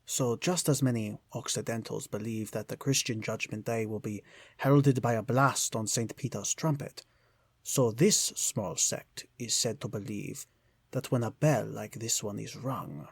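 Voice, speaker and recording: A man's voice: fairly low pitch, fairly even intonation, unhurried, gravelly, breathy edge, no echo, heavily acted. A man playing a courteous, guarded shopkeeper with flowery, formal phrasing in an old-time radio style drama. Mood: solemn warning. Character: courteous, guarded shopkeeper son, quaintly formal, solemn storyteller.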